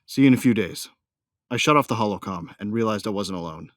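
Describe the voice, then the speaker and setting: Brooding, hot-headed bounty hunter , male actor: deep, dry sound, slangy first-person audio drama storytelling with menace. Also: expressive, fast, no room sound, lightly acted. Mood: casual.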